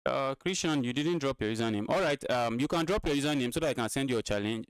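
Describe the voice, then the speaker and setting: Smooth-voiced man in his twenties, repeating himself. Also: medium pitch, quick pace, no echo, rough recording. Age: 20s. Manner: talks to viewers, repeats his phrases. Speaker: chess streamer. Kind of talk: casual live-stream chess commentary.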